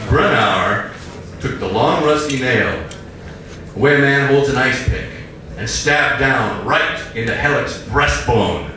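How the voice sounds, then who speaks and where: Guy reading his story live on stage, slow and lively, really echoey, bit far from the mic. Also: medium pitch. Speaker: writer reading own story. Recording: echoey stage, distant mic, faint noise.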